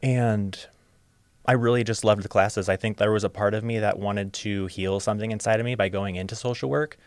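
A young man from Ohio speaking as a podcast guest, open and reflective, sometimes halting. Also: lowish voice, fairly even intonation, clean tone, no room sound. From Ohio, USA. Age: young adult. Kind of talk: casual interview storytelling.

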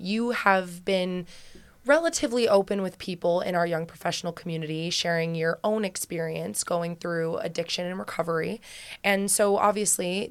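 A young woman co-hosting a podcast, earnest and gushing as she speaks gently with her guest. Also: on the low side. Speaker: podcast co-host. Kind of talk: casual podcast interview.

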